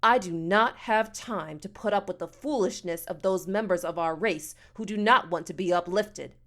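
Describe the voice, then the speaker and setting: A woman's voice: lowish voice, moderate pace, close to an everyday voice. A woman voicing a haughty, self-righteous foster mother in an audiobook, with stilted, formal diction and a pompous manner. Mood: indignant, haughty. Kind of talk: audiobook character dialogue.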